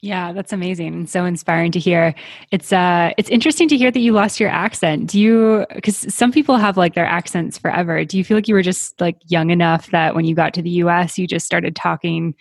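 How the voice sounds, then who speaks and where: A woman co-hosts a podcast with a bright, enthusiastic delivery. Her voice is a bit low for a woman, close and dry on a studio mic. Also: brisk, gravelly, dry recording. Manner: upbeat and enthusiastic. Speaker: podcast co-host. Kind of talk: casual podcast chat.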